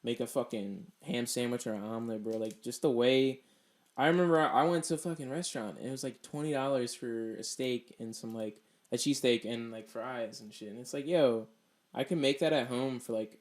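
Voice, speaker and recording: A man's voice: medium pitch, a little fast. Male podcast host, loose and talkative, easygoing profane chatter. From Delaware. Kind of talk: casual podcast interview.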